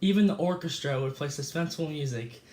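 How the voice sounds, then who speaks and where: A man's voice: a little high, fast talker, a little mellow, lightly acted. A young man playing a cheerful famous escape artist being interviewed, talking casually with fillers and small slips. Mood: easygoing. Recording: echoey room.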